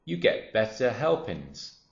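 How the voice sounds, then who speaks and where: An enormous, greedy crocodile from a children's storybook , played by a man, boasting with sinister relish. The words are drawn out gleefully in a low voice, in a roomy, slightly dull recording. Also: very animated, slow pace, gravelly, exaggerated voice. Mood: boastful. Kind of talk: children's storybook character reading.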